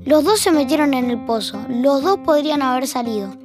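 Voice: high-pitched, lively intonation, brisk. A young-sounding performer with an Argentine accent, narrating a scripted audio drama as a wry storyteller. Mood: reflective. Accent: Argentine Rioplatense. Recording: music behind the narration.

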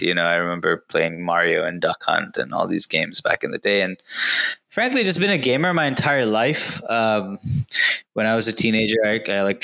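A man in his thirties, raised in Indonesia, answering interview questions in a relaxed way with frequent fillers and easy laughs. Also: lowish voice. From India, raised in Indonesia. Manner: relaxed, laughs easily, many fillers and restarts. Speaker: guest, gaming executive.

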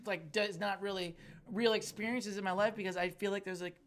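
A woman and comedian chatting casually on a podcast, teasing her co-host with quick jokey remarks. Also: medium pitch, steady tone. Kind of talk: casual chat.

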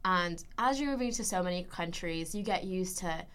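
A young woman with an American-sounding accent, talking in a relaxed podcast chat. She is quick with playful quips and laughs often. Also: fairly low pitch, smooth. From Philippines. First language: Tagalog. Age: young adult. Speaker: podcast guest. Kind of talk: casual chat.

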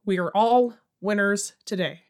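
A woman's voice: mid-range voice, measured pace, darkish tone, lightly acted. A woman playing a flustered, placating night radio host in an audio comedy, chatty and rambling, often interrupting herself. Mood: hurt, placating. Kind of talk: audio comedy drama in radio show format.

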